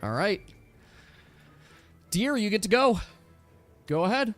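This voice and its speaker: A man with a fairly high voice runs a tabletop role-playing game in a casual, chatty way. He explains rules and turns as game master, with faint music behind him. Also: expressive, takes it slowly.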